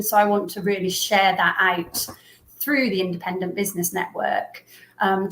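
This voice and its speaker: A woman's voice: mid-range voice, slight background noise. An English woman talks as an interview guest, thoughtful and friendly, with plenty of ums. Her voice sits in a middle register. The room around her is noticeably echoey. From England, Herefordshire. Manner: thoughtful, friendly, laughs easily, frequent ums. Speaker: interview guest, business owner. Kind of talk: casual interview. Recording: roomy echo.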